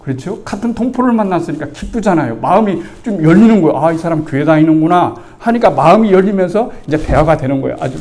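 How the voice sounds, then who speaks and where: A man's voice: on the high side, quick pace, hardly any pauses. A man in his sixties, a pastor, preaching a sermon as a chatty personal story, acting out the dialogue and asking the congregation for agreement. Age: 60s. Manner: conversational, asks the congregation for agreement; animated storytelling, acts out the dialogue.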